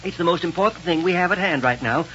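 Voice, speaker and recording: An actor playing a determined, authoritative inventor doctor in a 1930s radio serial. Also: male voice, a little high, fast, bright, forceful tone. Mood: brisk, resolute. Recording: muffled, noisy 1930s radio recording.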